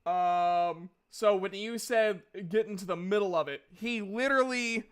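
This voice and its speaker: A woman with a smooth voice and middling pitch runs a tabletop game. She narrates casually and slowly, making it up as she goes and often restarting phrases. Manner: halting, restarts descriptions mid-phrase. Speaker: game master.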